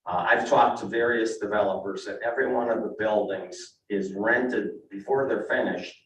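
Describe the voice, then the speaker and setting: A man's voice: on the low side, moderate pace, a bit bright, a little hiss or hum. An older man, a real estate broker, giving plain, conversational testimony at a zoning meeting. He is losing his voice. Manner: plain, conversational, with frequent "uh" and restarts. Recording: echoey council chamber, mic at a distance.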